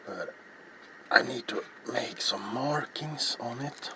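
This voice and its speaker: Hushed, slow ASMR man, noisy recording. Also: mid-range voice. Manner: hushed, unhurried, often dropping into whisper. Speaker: ASMR crafting video host. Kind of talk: soft-spoken ASMR craft narration. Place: small cluttered indoor workshop. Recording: loud background noise, handling clicks.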